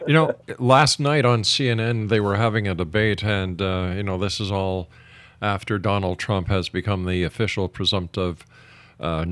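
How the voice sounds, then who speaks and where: A man's voice: on the low side, steady tone, normal speed, fairly bright tone, no room sound. A man hosting an interview show, talking conversationally with his guest. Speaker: radio show host.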